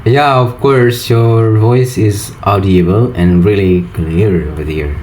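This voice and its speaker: A man with an Indonesian accent speaks in a very deep voice at a slow pace. His learner English comes haltingly as he chats casually, with a little noise behind him. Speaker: language-learning vlogger. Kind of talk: casual vlog and video-call chat. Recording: some steady background noise.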